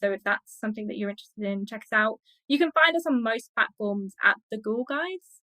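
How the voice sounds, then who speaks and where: A woman with a fairly high, very bright voice, speaking as a guest in a casual interview. She is chatty and enthusiastic, and the sound is dry and close. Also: animated, fairly quick, no room sound, low-quality recording. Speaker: interview guest, academic and podcaster. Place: indoor office on a video call.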